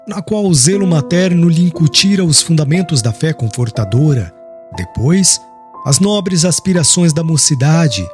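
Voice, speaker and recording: A man's voice: a little high, darkish tone. A man reading an audiobook as dramatic, solemn scripted narration in a literary manner. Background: music.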